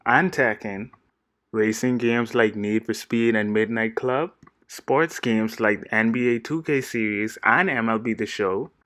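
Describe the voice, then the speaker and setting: A man, giving a steady, earnest scripted presentation as a game designer pitching himself. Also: no echo, clean studio sound. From Bahamas. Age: 20s. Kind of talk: read-aloud scripted presentation.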